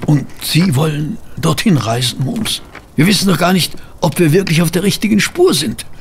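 An actor playing a sceptical detective's companion and narrator in an audio drama, expressive and given to grumbling. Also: male voice, fairly high pitch, normal speed, creaky, a little hiss or hum. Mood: grumbling, puzzled. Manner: expressive, doubting, hesitant asides.

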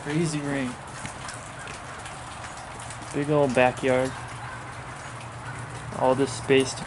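A man's voice: mid-range voice, little pitch movement, very slow, noisy background. A young man vlogging with a handheld camera on a covered back porch, joking and teasing his grandpa and laughing often. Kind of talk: casual vlog chat.

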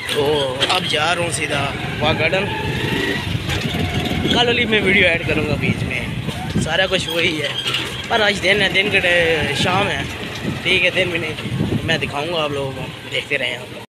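A man's voice: on the high side, normal speed, pauses often. A young man from Pakistan casually narrating a walking vlog outdoors, pointing things out as he goes and talking into a handheld phone. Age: young adult. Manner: chatty, pointing things out as he walks. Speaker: vlogger. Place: outdoors on streets and a market. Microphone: phone camera held in hand. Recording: loud outdoor street and traffic noise.

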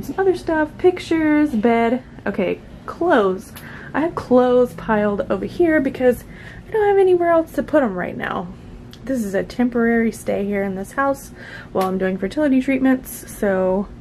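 A woman's voice: on the high side, darkish tone. Casual woman vlogger, noisy camera audio. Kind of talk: casual vlog chat to camera. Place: small bedroom, indoors. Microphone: camera at arm's length or set nearby.

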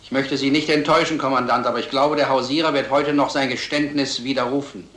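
A man plays a stubborn, earnest detective in a vintage crime film. His medium-pitched voice is formal, measured and insistent. The soundtrack has a roomy echo. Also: fairly even intonation, a bit bright, close to an everyday voice. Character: earnest, stubborn, sober male police detective.

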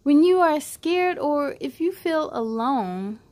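A woman in her thirties reading aloud to children as a storytime host, with an encouraging, animated manner and simple phrasing. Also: high-pitched, takes it slowly. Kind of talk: children's read-aloud, addressing young listeners.

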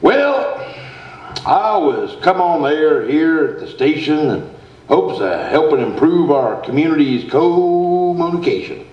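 A man's voice: a little high, very animated, unhurried. A man playing an earnest, self-important small-town speech teacher in a comic radio sketch on stage. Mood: lecturing. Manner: folksy, pompous, instructing. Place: theatre stage, indoors. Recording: dull, roomy, distant stage sound.

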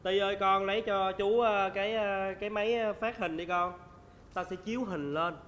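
A man's voice: sits high, steady tone, moderate pace, a bit bright, in a large hall. A young man with a Southern Vietnamese accent hosting a casual, playful comedy talk show. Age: young adult. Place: indoor studio set dressed as an old drinks shop.

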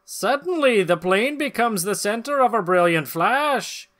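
A man narrates an old comic book for a podcast. His voice is bright and forceful. He reads theatrically and sounds amused, dropping into casual chat. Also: sits high, expressive, measured pace. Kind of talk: dramatic comic-book reading with casual banter. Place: small room at home, video call.

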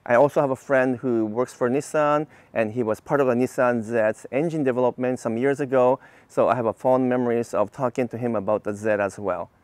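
Car reviewer: man, 50s, from Japan, mid-pitched voice, keen and explanatory, close dry outdoor sound. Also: fairly even intonation, brisk, few pauses, darkish tone, no room sound. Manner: enthusiastic, explanatory. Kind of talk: car review to camera, semi-scripted casual talk. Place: outdoors on a quiet leafy residential street. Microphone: clip-on lavalier mic on the chest.

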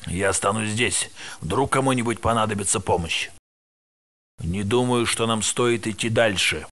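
An actor voicing a tired male security guard in a research facility in a video game dub, with a gravelly, matter-of-fact delivery. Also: lowish voice, normal speed, a bit bright. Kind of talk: game voice lines, Russian dub.

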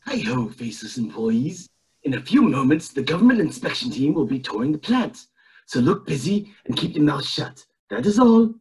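A man voicing a haughty, scheming old cartoon boss, raspy and pompous. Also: on the high side, big pitch swings, moderate pace, full character voice, poor audio. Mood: imperious. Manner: pompous, dismissive.

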